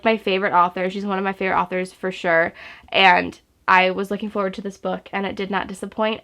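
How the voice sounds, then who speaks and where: A young woman chats to her viewers at home, upbeat and gushing, with a gravelly edge of fry running through her speech. She sounds a touch stuffy from a sore throat. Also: mid-range voice, a bit bright. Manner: gushing, enthusiastic, chatty with fillers. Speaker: book vlogger. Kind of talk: casual book haul chat to camera. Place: home room in front of bookshelves.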